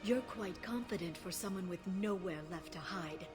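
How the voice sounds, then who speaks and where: A woman's voice: fairly low pitch, moderate pace, close to an everyday voice. An actress playing a shrewd, determined female alien scientist in a video game, assured and pointed in her delivery. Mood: defiant. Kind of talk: video game cutscene acting. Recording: loud music behind the voice.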